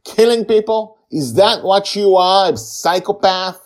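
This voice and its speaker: A man voicing a furious, intense father in a story, shouting accusations in a strained, husky, urgent voice. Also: sits high, unhurried, voice pushed up. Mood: furious screaming. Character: furious, intense father confronting his young daughter.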